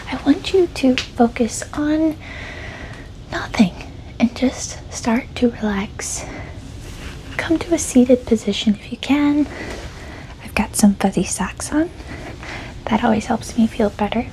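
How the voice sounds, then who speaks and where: A woman leading a guided meditation in a soft-spoken ASMR style, gentle and unhurried, with a breathy voice that often drops close to a whisper. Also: fairly high pitch, slight background noise, poor audio. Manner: gentle, unhurried, soothing instruction.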